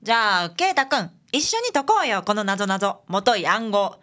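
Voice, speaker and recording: Chatty kansai girl assistant, played by a woman, bright voice, bouncy pitch. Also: animated, normal speed, natural voice. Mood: puzzled. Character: cheerful young female detective's assistant. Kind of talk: community radio drama acting.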